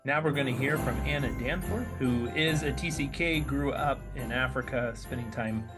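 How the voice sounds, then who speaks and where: A man's voice: mid-range voice, moderate pace, over loud music. A man hosting a podcast, giving short encouraging reactions and introducing guests in a casual chat. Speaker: podcast host.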